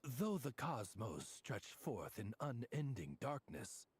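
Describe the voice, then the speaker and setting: A man's voice: medium pitch, very animated, takes it slowly, a little hiss or hum. A man voicing a loyal male raven familiar in a video game, formal, deferential and grandiloquent in manner. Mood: devoted, stately. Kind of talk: scripted game dialogue.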